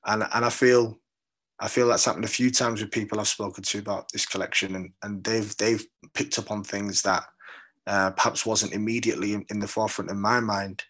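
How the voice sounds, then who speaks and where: Low-voiced, hesitant British man. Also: little pitch movement, a little fast, fairly bright tone. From London, England. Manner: hesitant, thoughtful, often repeating words as he searches. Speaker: poet being interviewed. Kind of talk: reflective literary interview.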